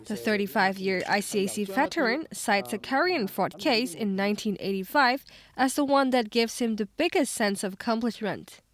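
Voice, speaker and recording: A woman's voice: medium pitch, lively intonation, normal speed. A woman working as a TV news reporter, delivering a scripted news narration.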